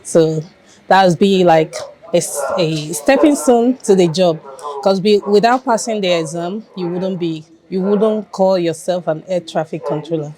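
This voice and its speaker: A woman's voice: lowish voice, measured pace, a crowd in the background, low-quality recording. A woman, an air traffic controller, giving an interview answer. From Nigeria.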